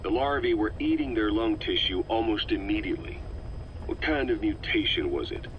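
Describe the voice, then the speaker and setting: A man's voice: lowish voice, animated, moderate pace, lightly acted. An actor playing a male commander who asks a scientist probing questions, on a game audio tape. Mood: questioning. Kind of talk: game audio log acting. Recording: dull noisy cassette tape.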